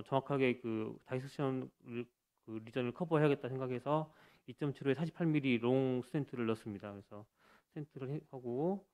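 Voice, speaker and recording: A man at a conference podium walks through a medical case, speaking into the microphone in a mid-pitched voice. He talks haltingly, with frequent fillers and self-corrections. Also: darkish tone. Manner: hesitant, many fillers and restarts. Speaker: cardiologist presenting a case. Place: conference hall stage.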